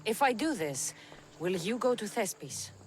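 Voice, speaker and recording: Firm mercenary, expressive voice. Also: female voice, mid-range voice, a little slow, slight background noise. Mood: concerned, resolute. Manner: firm, direct, confident. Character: bold, commanding, determined female mercenary adventurer. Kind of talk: video game cutscene acting.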